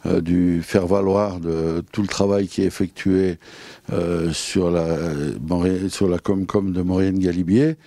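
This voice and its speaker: Brief: male local official, deep mellow voice, halting delivery. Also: fairly even intonation, dry recording. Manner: hesitant, many fillers. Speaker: local community council president. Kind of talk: interview statement.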